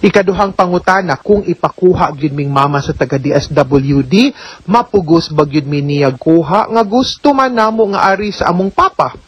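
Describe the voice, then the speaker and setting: A woman's voice: on the low side, animated, normal speed, breathy edge, no room sound. A woman hosting a radio legal advice show, answering listeners' letters in a firm, explanatory way and slipping in English phrases. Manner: mixes in English words and phrases.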